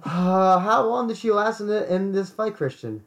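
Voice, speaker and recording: A man co-hosting a casual podcast chat, hoarse, often stumbling and repeating words. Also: high voice, lively intonation, moderate pace. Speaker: podcast co-host.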